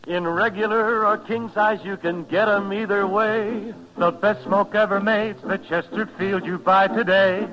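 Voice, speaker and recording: High, unhurried man singing a radio jingle. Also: steady tone. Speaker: commercial jingle singer. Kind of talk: sung 1950s radio jingle. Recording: muffled vintage radio recording with music.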